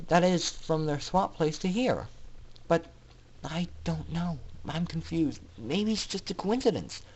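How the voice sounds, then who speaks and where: A man voicing a thoughtful young female pony foal in a story reading, quiet and hesitant as if musing. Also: on the high side, animated, a little slow, poor audio. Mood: hesitant, pondering. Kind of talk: dramatic fan fiction reading.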